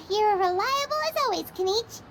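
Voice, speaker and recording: A woman voicing a tiny, excitable, chirpy fairy companion in an anime video game. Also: very high-pitched, measured pace, very noisy. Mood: eager. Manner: excitable, speaks of herself in third person.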